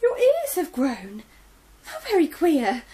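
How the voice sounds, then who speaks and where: A woman voicing a young girl in a storybook, bright and breathless. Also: sits high, huge pitch swings, takes it slowly, full character voice. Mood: worried. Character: young girl, brave, easily startled. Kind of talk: storybook read-aloud.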